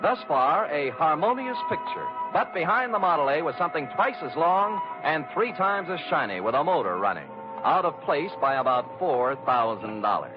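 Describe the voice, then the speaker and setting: An actor playing a sardonic, deadpan private detective in a 1940s-style radio noir drama, narrating in the first person. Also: male voice, a little high, normal speed. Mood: wry narration. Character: wry, hard-boiled male private detective. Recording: dull vintage radio recording with music.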